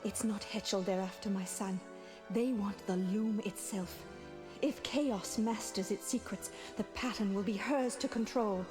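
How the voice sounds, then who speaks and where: An actress playing a gentle noble mother turned swan in an adventure game, speaking in a measured, formal, tender way. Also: mid-range voice, steady tone, over music, close to an everyday voice. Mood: tender, grave.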